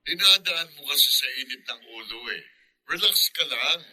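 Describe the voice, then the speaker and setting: Sharp, high-voiced smug rival lover. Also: male voice, expressive, moderate pace, full character voice, poor audio. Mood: cool, teasing. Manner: teasing, smug, provoking. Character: cocky, taunting male rival lover, mock-playful. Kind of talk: radio drama acting.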